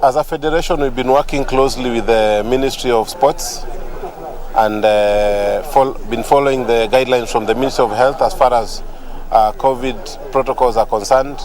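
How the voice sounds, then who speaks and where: A man, a sports federation official, speaking deliberately with frequent fillers in an interview. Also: mid-range voice, fairly even intonation, darkish tone. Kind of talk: interview statement. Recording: outdoor crowd noise behind.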